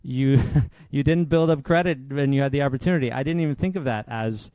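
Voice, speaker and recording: A man hosting a podcast, reading a scripted introduction and then chatting casually with a guest in a friendly, lively way. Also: mid-range voice, a little fast, clear, resonant tone, no room sound. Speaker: podcast host.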